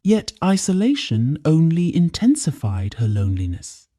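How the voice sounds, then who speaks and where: A woman's voice: low voice, sing-song, normal speed, mellow, dry recording, studio quality. A woman storyteller reading a scripted folktale as a dramatic narration.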